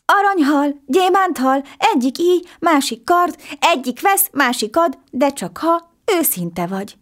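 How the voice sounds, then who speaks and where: A woman doing a gentle magic diamond fish. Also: mid-range voice, animated, natural voice. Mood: chanting. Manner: soft, measured, chanting.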